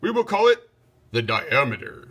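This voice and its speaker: A man's voice: medium pitch, sing-song, normal speed, fairly bright tone, slightly rough. A man voicing a commanding, stately storybook king, formal and declamatory. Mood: proud announcing. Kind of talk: storybook read-aloud.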